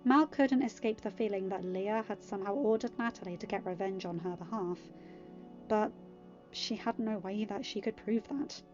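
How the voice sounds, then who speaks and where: A woman reading a story aloud as an expressive amateur podfic narrator. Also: medium pitch, moderate pace, dull audio. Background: music.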